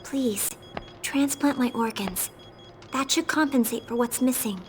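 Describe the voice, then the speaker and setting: A woman voicing a gentle, calm, selfless synthetic girl in a video game cutscene. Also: on the high side, measured pace, slight background noise, natural voice. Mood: pleading, resolved.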